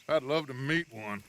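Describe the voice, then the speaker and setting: Genial scheming outlaw leader, American, middle-aged, bright animated delivery, theatrical game drama. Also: male voice, mid-range voice, slight background noise. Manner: theatrical, smooth-talking, ingratiating. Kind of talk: video game dramatic acting.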